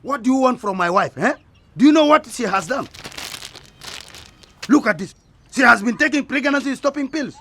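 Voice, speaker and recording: A man's voice: very high-pitched, lively intonation, normal speed, long pauses, pushed and bright, a little hiss or hum, full character voice. A man playing an angry, domineering husband in a radio drama, confrontational and commanding. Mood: accusing.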